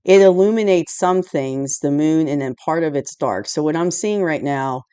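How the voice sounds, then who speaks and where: A hoarse woman recovering from a cold, giving a rambling, casual tarot reading indoors at home, full of "you know" and "like". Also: low-pitched, moderate pace, mellow, dry recording. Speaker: tarot reader. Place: indoors at home, dim room lit by a candle and lamp.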